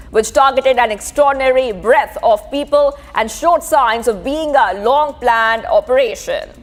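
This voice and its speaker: A woman with an Indian accent presenting live broadcast news as an anchor. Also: fairly high pitch.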